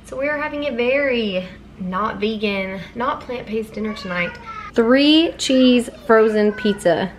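A woman's voice: a little high, animated, unhurried, a little hiss or hum. A woman in her thirties talking casually to the camera as a mother making a home vlog. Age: 30s. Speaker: vlogger and mother. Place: home kitchen and outdoor back porch. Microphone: camera at arm's length.

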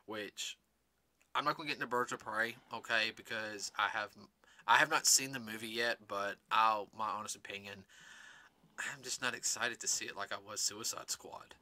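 A man with a slightly raspy voice co-hosting a podcast, chatting casually about a movie and rambling with many fillers. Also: lowish voice, sharp tone. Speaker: podcast co-host. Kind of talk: casual movie review chat.

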